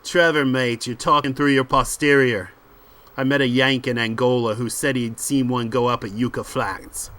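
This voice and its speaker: A man's voice: medium pitch, fairly even intonation, normal speed, a bit bright, close to an everyday voice. A man voicing a cocky, callous British crook with slangy, mocking swagger in a comic book read aloud. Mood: boastful, amused.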